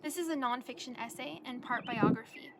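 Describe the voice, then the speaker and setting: A young woman, a college student, reading her own essay aloud as a presentation in an earnest, measured way. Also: high voice, very noisy. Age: young adult.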